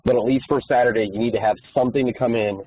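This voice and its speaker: A man's voice: mid-range voice, steady tone, rapid-fire, husky, no room sound. A man co-hosting a conference call, giving an informal, plain-spoken explanation over the telephone. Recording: muffled, narrow phone conference line.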